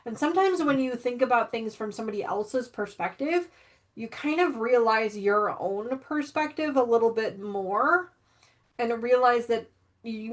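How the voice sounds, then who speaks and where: A woman guest on a livestream talking reflectively and haltingly, with many restarts. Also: a little high. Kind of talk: casual chat. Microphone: livestream mic.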